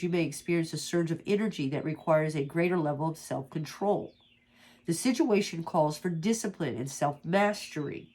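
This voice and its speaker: A woman with a stuffed-up nose from allergies, reading slowly and softly from a guidebook as an oracle card reader, with small stumbles and asides. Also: on the low side, slightly rough. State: congested from allergies.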